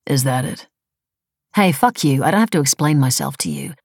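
Lady reader doing a defensive sarcastic woman, blunt, scornful, low raspy voice, audiobook. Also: huge pitch swings, moderate pace, darkish tone, no room sound, studio quality, exaggerated voice. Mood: indignant. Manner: blunt, sarcastic, peppered with casual "like". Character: defensive, scornful, foul-mouthed woman activist, earnest and prickly.

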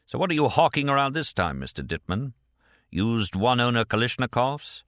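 A man voicing a suspicious, mock-polite customs supervisor with a dry, deadpan interrogating manner. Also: mid-range voice, normal speed, no room sound, pitched above the performer's own voice. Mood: sardonic.

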